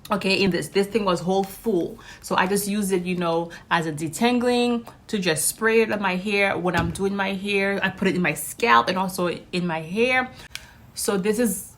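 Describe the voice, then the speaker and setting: Female vlog host, native Haitian Creole speaker, mid-pitched voice, upbeat and informal, addressing her audience directly. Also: moderate pace, fairly bright tone. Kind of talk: casual chat to viewers.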